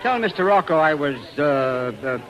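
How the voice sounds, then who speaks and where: A hemming, polite impresario, highish voice. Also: male voice, animated, moderate pace, a bit bright, a faint crowd. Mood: polite, reserved. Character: polite, hesitant, noncommittal male theatrical impresario. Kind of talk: 1940s radio acting. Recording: noisy vintage 1940s radio recording.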